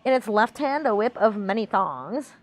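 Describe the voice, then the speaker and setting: A woman's voice: mid-range voice, lively intonation, normal speed, close to an everyday voice. A woman voicing a ditzy dumb blonde who dramatically reads an epic fantasy storybook aloud. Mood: ditzy narrating. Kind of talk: fantasy storybook reading in a put-on voice.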